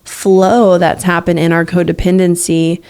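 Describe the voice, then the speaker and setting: A woman's voice: on the low side, little pitch movement, measured pace, darkish tone, clean tone. A young adult woman co-hosting a relationship podcast, talking in an earnest, flowing, encouraging way. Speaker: podcast co-host. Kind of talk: casual podcast chat about relationships.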